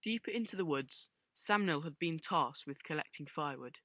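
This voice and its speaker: A man's voice: on the high side, expressive, normal speed, sharp tone. A man giving scripted storytelling narration for an audio play.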